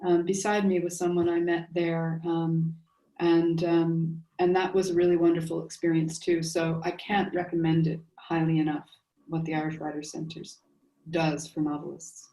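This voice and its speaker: A middle-aged American woman speaks from some way off the mic in an echoey space. Her voice is fairly low and flat in pitch, with halting, thoughtful phrasing. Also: distant mic. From United States. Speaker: novelist, panel guest. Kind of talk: unscripted panel talk.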